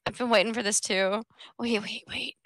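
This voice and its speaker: A woman's voice: a little high, fairly even intonation, normal speed, fairly bright tone, dry recording, clean studio sound. A woman chatting casually as an eager, agreeable co-commentator in a reaction video. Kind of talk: casual chat.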